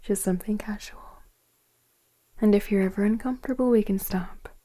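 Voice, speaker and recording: A woman playing a gentle, flirtatious woman in her forties in an intimate ASMR romance roleplay, speaking softly and unhurriedly in a breathy near-whisper. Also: medium pitch, natural voice. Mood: flirtatious, hesitant. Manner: soft, tender, hesitant, unhurried flirting.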